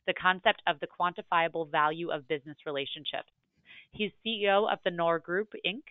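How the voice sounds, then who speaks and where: A woman's voice: lowish voice, no room sound. A woman moderating a webinar, reading polished scripted announcements and an introduction. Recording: dry, tinny phone-like webinar line.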